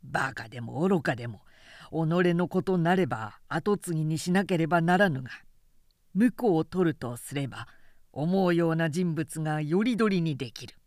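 A woman's voice: deep voice, lively intonation, moderate pace, a little mellow, no echo, clean studio sound, pitched below the performer's own voice. A woman narrator voicing a stubborn, proud old samurai man in a storybook reading, blustering and argumentative. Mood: defiant bluster.

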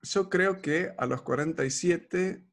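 Upbeat forties male coach, slightly high voice, casual and full of fillers. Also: slow, a bit bright. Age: 40s. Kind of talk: casual podcast chat.

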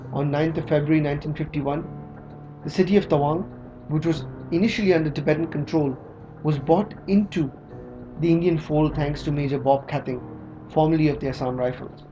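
A man narrating a scripted history documentary in a measured, read-aloud way. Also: on the high side. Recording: slightly dull audio with music underneath.

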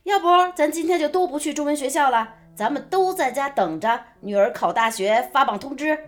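A woman's voice: high-pitched, expressive, a little fast. A woman playing a fussing, coaxing middle-aged mother in a radio drama, with an exclamatory way of talking. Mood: nagging. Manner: nagging, exclamatory. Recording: music under the voice.

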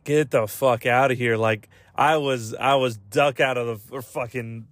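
A man's voice: mid-range voice, steady tone, unhurried, a bit bright, no room sound, studio quality. A man hosting a podcast, rambling casually and comedically in slangy, profane English as he retells everyday exchanges. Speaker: podcast host.

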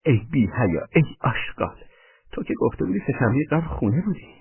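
A man's voice: medium pitch, very animated, vocal fry, slightly rough, voice pushed up, exaggerated voice. A man voicing a furious, betrayed woman who scolds shrilly, on a tinny, band-limited recording. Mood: accusing.

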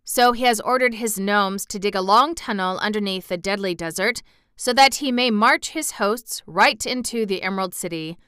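A woman voicing a kindly, anxious emperor made of tin in a storybook, speaking formally and earnestly in a measured way. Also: medium pitch, a bit bright, clear, resonant tone, dry recording, clean studio sound, natural voice. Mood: grave, anxious. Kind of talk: storybook narration character voice.

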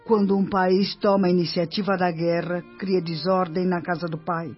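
A man's voice: high voice, flat delivery, moderate pace, breathy edge. An actor playing a solemn male spirit mentor in a radio drama, declamatory and instructive. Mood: grave. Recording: muffled radio play with background music.

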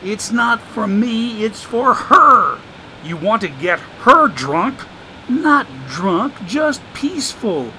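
A man doing a high, wisecracking homeless man. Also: expressive, slow pace, few pauses, sharp tone, a little hiss or hum, exaggerated voice, rough recording. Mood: teasing. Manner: drawling, sarcastic, rough grammar.

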